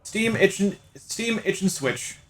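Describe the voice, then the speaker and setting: A man's voice: sits high, animated, slow, fairly bright tone, rough recording. A man hosting a livestream playthrough, chatting casually and playfully with viewers. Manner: playful, talks to chat by name. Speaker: livestream host playing a visual novel. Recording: game music behind. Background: game music.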